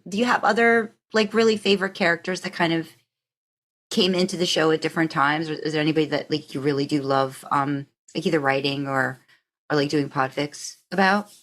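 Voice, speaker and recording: A woman's voice: low-pitched, fairly even intonation, brisk, a bit bright. A woman hosting a podcast in casual, rambling, informal conversation. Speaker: podcast host. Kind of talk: casual interview chat.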